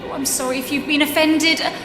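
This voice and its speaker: An anxious woman, played by an actress, apologises in a flustered, high voice in a horror game. It echoes through a long hall over music. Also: fairly even intonation, fast talker, lightly acted. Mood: flustered, apologetic. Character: anxious, apologetic woman placating an offended audience. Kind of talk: dramatic horror game dialogue.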